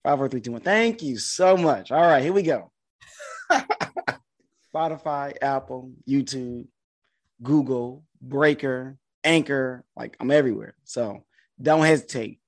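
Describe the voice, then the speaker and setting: A man in his thirties hosting a podcast in casual chat, rattling off quick, hyped housekeeping. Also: mid-range voice. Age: 30s. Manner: hype, quick housekeeping patter. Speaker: podcast host.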